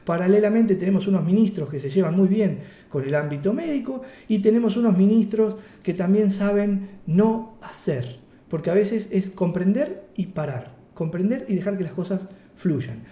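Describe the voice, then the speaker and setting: A man with a Rioplatense Spanish accent leads a workshop lecture. His voice sits high, yet the tone is very dark and mellow. He teaches in a playful, chatty way, with rhetorical questions and jokes, and the sound rings in an echoey room. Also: moderate pace, highs cut off.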